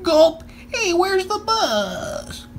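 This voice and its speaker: Guy doing a squeamish little school kid from a picture book, excitable, voice jumping around. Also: extremely high pitch, very slow, faint music behind, voice pushed up, heavily acted. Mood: startled. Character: excitable, easily grossed-out young schoolchildren in a storybook.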